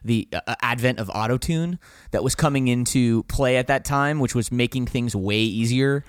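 A man talks frankly and a little rambling about his band, often circling back to restart a thought. He sits in a dry, close-sounding space. His pitch sits at an everyday middle level. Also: moderate pace, clear, resonant tone, dry recording. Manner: rambling, self-correcting, frank. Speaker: band vocalist guest. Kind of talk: casual interview conversation.

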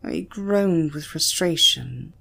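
A British woman narrating an erotic story in a breathy voice that often drops toward a whisper. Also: deep voice, lively intonation, slow, a bit bright. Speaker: audiobook narrator.

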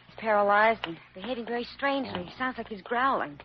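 An anxious, dutiful hospital aide in an old radio drama. She speaks quickly at a medium pitch. The vintage recording is dull with faint noise. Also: lively intonation. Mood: urgent worry. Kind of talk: 1940s-50s radio drama acting.

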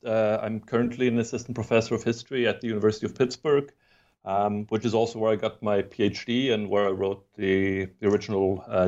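Low-voiced man with a mixed European accent. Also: a little mellow, highs cut off. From Sweden, raised in Germany. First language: not English. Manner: hesitant, many ums and restarts, laughs easily. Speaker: historian, guest author. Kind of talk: podcast interview.

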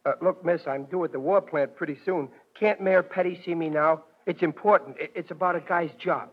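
A man acts out a brash American working-class dad from an old radio comedy, pitched high for a man. He wisecracks in slangy, ungrammatical speech. The sound is dull and vintage, like a 1940s broadcast. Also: fairly even intonation, a little fast, soft background music, close to an everyday voice. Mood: indignant. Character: brash, good-humored working-class husband and father.

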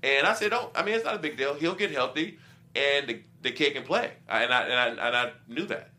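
A man's voice: medium pitch, fairly quick, pushed and bright. A middle-aged man, a former professional football player, talking casually as a guest and explaining his views with examples and quoted asides. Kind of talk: casual sports talk.

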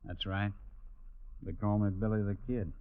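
Guy doing young american gunslinger, terse drawl, lowish voice, tinny old radio western. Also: little pitch movement, moderate pace, clean tone, close to an everyday voice. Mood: flat, curious. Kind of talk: 1950s radio drama acting. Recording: tinny, narrow-band old radio recording.

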